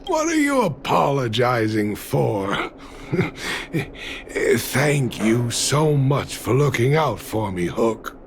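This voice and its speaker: An actor playing a kindly, gentle father in a video game, caring and reassuring. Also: mid-range voice, big pitch swings, slow, a little hiss or hum. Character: kindly, gentle working-class father, adult man. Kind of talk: English video game voice acting.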